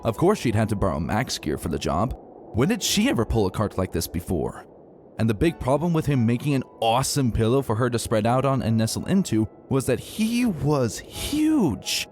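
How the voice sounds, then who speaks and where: A man narrating a dramatic story in an expressive, emphatic and colloquial way. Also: mid-range voice, moderate pace. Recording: soft music behind narration.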